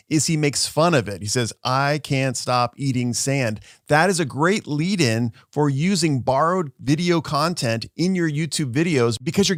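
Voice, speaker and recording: A man's voice: on the high side, no room sound, studio quality. A man who works as a social media lawyer, giving an upbeat explainer and walking viewers through video clips.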